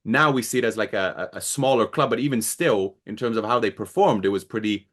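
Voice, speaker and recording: A man's voice: on the low side, lively intonation, fairly quick, fairly bright tone. A man hosting a football podcast, asking long, rambling questions in a friendly, casual way with frequent laughs and restarts. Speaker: podcast host. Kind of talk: casual interview.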